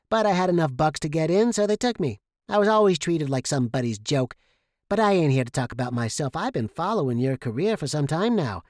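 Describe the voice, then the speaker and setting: Guy putting on a pushy streetwise little pawnshop failure, sour about life, higher voice, slangy story character. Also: darkish tone, no echo, clean studio sound, natural voice. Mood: brisk, confiding. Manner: streetwise, slangy, self-pitying bluster. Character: brash, bitter little pawnbroker and failed musician. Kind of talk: acted dialogue in a short-story reading.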